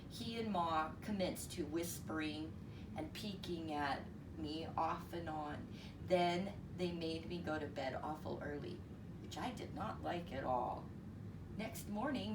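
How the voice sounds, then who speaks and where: A woman reading a story aloud in an expressive, explanatory way, as if to young listeners. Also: fairly low pitch. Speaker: storyteller reading a picture book. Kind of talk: read-aloud story narration. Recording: roomy, distant mic, noisy.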